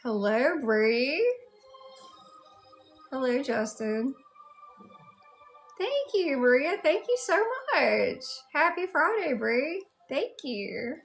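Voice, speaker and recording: Unhurried high-voiced Southern US woman livestreamer. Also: very animated, gravelly, faint music behind. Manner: unhurried, chatty, addressing viewers one by one. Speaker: livestream host. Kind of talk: casual livestream chat, greeting viewers.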